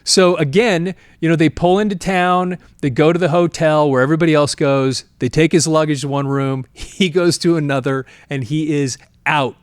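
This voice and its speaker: A man's voice: fairly high pitch, steady tone, normal speed, hardly any pauses, clear, resonant tone, dry recording, studio quality. A man hosting a music history show, telling stories informally and reading quotes aloud in a chatty, often amused way.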